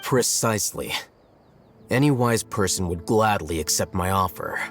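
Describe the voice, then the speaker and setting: A very deep, composed scholar. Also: male voice, lively intonation. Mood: cool. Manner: composed, measured. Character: calm, cunning scholarly scribe. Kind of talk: game cutscene voice acting. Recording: game cutscene with clear music.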